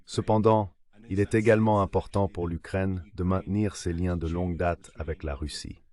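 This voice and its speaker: A man reading a former diplomat's interview answers as a translated voice-over, in a measured, scripted way. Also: deep voice, steady tone, a little mellow.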